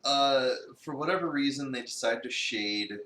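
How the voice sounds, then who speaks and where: A woman's voice: rumbling low, moderate pace, fairly bright tone, recorded from a distance. A woman co-streamer chatting casually and throwing in joking comments on a game. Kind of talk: casual chat with joking interjections. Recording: echoey room, off-mic, faint background noise.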